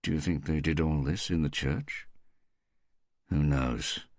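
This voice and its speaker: A man plays a stubborn militia detective in a crime audiobook. He is gruff and curt, with a very deep voice, recorded dry. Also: a little slow, no echo.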